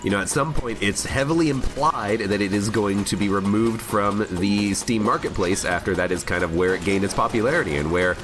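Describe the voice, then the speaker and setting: A man's voice: on the low side, a little fast, hardly any pauses. A man with a cold and a slightly worn voice, rambling cheerfully as he streams himself playing a game. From United States. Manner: rambling, chatty, self-mocking humor. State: has a cold, voice a bit worn. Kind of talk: casual live gameplay commentary. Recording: game music loud under voice. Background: game music.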